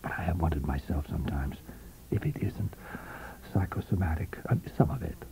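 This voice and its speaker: A man's voice: low voice, lively intonation, a little fast, mellow, voice pushed down, rough recording. A man playing a self-pitying, unfaithful husband in an audiobook story, hesitant and confiding with studied reasonableness. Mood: guilty confiding.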